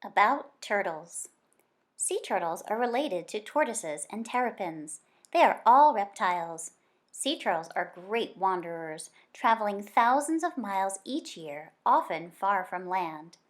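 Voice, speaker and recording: Woman reading aloud with lively, swinging intonation. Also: mid-range voice. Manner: expressive, deliberate storytelling with drawn-out words. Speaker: teacher reading books aloud to children. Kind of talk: read-aloud of a children's nonfiction picture book.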